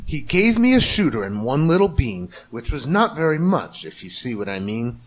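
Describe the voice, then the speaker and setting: A man's voice: mid-range voice, very animated, normal speed. A man narrating a rhyming storybook with theatrical, rhythmic delivery. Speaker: amateur storybook reader.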